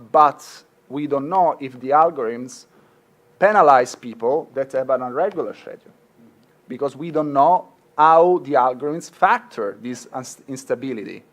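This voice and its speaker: A man's voice: medium pitch, unhurried, a bit bright. A middle-aged man with a marked Italian accent, a law professor speaking earnestly at a conference, with frequent fillers and restarts. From Italy. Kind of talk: conference talk.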